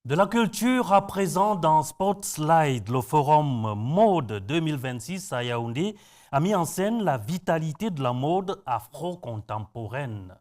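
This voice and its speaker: A man's voice: on the high side, big pitch swings, slow pace, a little mellow. A man presenting a news magazine in an upbeat, scripted way. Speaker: news anchor.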